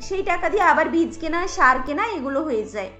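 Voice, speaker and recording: A woman puts on a chirpy cartoon best friend, very bright and high in pitch. She chats helpfully and likes to advise, over music. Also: expressive, brisk. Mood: helpful, upbeat. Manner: chatty, advising. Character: chirpy, helpful longtime female friend of a housewife. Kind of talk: cartoon dialogue acting.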